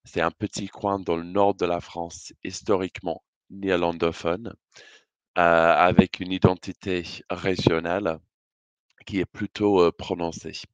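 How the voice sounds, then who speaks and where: A British man with a low, deep voice delivers a prepared presentation. His British accent shows, and his phrasing is measured and hesitant. The sound is dry and close, with little room around it. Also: level tone, smooth, dry recording, dull audio. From Britain. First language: English. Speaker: conference speaker.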